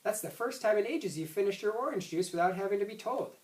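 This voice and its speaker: A man's voice: high voice, animated, quick pace, voice pushed up. Man voicing patient coaxing storybook mother, echoey. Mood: bright coaxing. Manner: chiding, coaxing. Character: gentle, patient mother of two young children. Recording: roomy echo, distant microphone.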